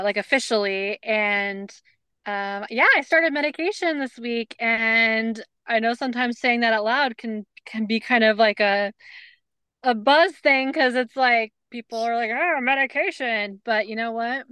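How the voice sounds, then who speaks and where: A woman co-hosting a podcast, chatting casually with many fillers and leading a calm grounding exercise. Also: fairly high pitch, unhurried, smooth, dry recording. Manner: bubbly, lots of fillers, leads a calm breathing exercise. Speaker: podcast co-host. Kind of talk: casual chat.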